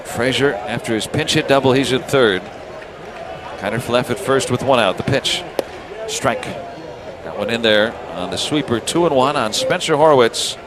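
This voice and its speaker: American baseball play-by-play man, higher-pitched voice. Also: noisy background. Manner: clipped phrases with pauses between pitches. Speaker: radio baseball play-by-play announcer. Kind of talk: live play-by-play commentary.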